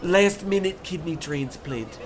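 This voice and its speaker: Man as an eager high-voiced young ticket clerk. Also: unhurried, music in the background. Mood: obliging. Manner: chirpy, bootlicking, excitable. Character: eager, folksy, fawning young male train ticket clerk. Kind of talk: old-time radio play parody acting.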